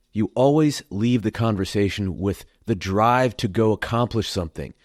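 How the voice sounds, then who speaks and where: A man hosting a podcast, reading a written intro and then asking his guest questions in a measured, earnest way. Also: fairly low pitch, a little mellow, no echo, clean studio sound. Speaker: podcast host. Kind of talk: scripted intro and interview questions.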